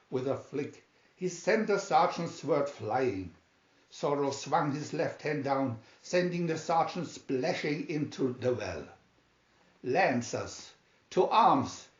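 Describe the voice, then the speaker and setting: A man reading a storybook as dramatic narration, deliberate and theatrical, in a dull, roomy recording. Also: medium pitch, a little mellow.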